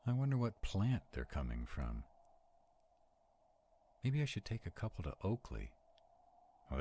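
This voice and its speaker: A man's voice: a bass voice, dark tone, slight background noise, exaggerated voice. A man playing a sarcastic, protective vampire in a conversational audio roleplay. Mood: curious, musing. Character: sarcastic male vampire, protective of a young demon companion.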